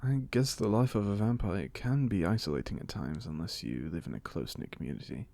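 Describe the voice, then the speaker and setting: A man's voice: deep voice, a little fast, creaky, mellow, no room sound. A man playing a genial old vampire in an audio roleplay, speaking with a British accent in polite, formal, wryly chatty phrases. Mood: apologetic, reflective. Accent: British, put on by an American. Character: genial, chatty, courteous centuries-old male vampire being interviewed. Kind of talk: ASMR audio roleplay monologue.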